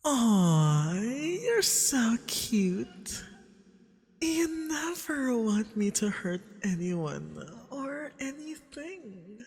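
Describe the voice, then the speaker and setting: Seductive femboy vampire acted by a man, possessive, very slow, breathy coaxing whispers, swooping intonation, ASMR roleplay, long reverb. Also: very high-pitched, very animated, heavily acted. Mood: doting, sinister. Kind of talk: intimate ASMR roleplay acting. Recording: long reverberant hall echo.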